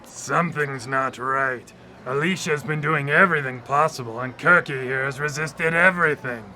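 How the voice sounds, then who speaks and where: An actor in a radio drama playing a smug, taunting tempter spirit who schemes to corrupt souls. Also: male voice, medium pitch, moderate pace, pushed and bright, a little hiss or hum. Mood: sly, scheming. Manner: taunting, theatrical. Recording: live radio drama broadcast.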